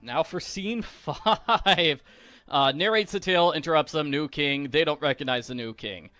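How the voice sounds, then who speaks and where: A man's voice: on the high side, unhurried, sharp tone, dry recording. A man giving casual, playful walkthrough commentary on a video game, explaining each step as host. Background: music.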